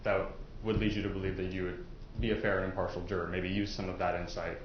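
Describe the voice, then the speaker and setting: A male prosecutor talks to potential jurors in a conversational, informal way, with a fairly low voice. He leans on everyday examples as he questions them. The recording is echoey and muffled, with faint noise in the courtroom. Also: little pitch movement, fast talker.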